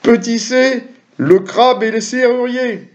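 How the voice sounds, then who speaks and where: Middle-aged male quiz host, high voice, jokey asides, dull lo-fi webcam recording. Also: fairly even intonation, takes it slowly. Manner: jokey, chatty asides to viewers. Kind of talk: reading quiz questions to camera. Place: small room at home. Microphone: webcam or computer mic at desk.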